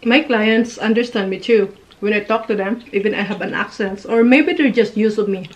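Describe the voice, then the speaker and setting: A woman's voice: medium pitch, normal speed. A woman in her thirties from the Philippines with a Filipino accent, chatting while eating at a dinner table and mixing in Tagalog. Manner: chatty, giggly, mixes Tagalog and English. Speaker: vlogger. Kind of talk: mukbang chat. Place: living room at a dinner table. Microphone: camera across the table.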